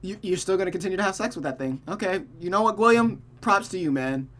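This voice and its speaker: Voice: medium pitch, animated, fast talker, fairly bright tone. A game streamer reading a visual novel aloud and chatting casually about it, voicing the characters along the way.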